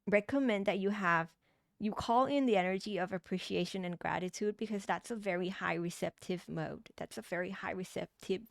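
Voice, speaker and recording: A woman's voice: mid-range voice, smooth, no echo, studio quality. A woman with a Thai accent, a tarot reader giving a meandering spiritual reading with many restarts and fillers. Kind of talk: pick-a-card tarot reading.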